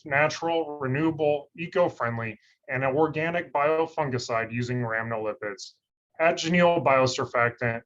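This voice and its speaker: A man scientist giving a steady, monotone scripted webinar presentation over a video call. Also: medium pitch. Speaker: industry research scientist presenting. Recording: slightly dull lo-fi call audio.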